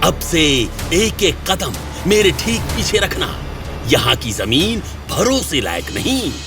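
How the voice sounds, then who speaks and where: A man playing a mysterious, stern guide in an audio drama, speaking ominously and deliberately as he sets tests. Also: on the high side, sing-song, over music, exaggerated voice. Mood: ominous warning. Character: mysterious stern male guide who tests heroes with riddles.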